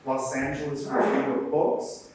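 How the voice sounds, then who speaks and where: A man's voice: mid-range voice, monotone, measured pace, a little mellow. A man who directs programs, giving a chatty, joking introduction at a library event. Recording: very echoey room, from far off.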